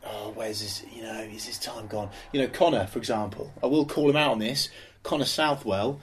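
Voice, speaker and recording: A man's voice: fairly low pitch, moderate pace. A young man co-hosting a football podcast, talking in an emphatic, rambling way with plenty of restarts. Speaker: podcast co-host. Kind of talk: casual football chat.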